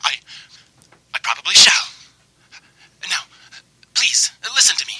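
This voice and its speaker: A man's voice: high voice, big pitch swings, a little slow, sharp tone, husky, dry recording, heavily acted. An actor playing an unstable, lovesick young man who stammers and frets in old-time radio mystery style. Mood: desperate pleading. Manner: stammering, pleading, overwrought.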